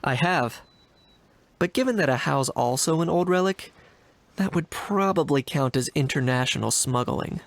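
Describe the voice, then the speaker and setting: A man voicing an earnest, deadpan man in a video game, with a gravelly voice. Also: a little high, normal speed, no echo.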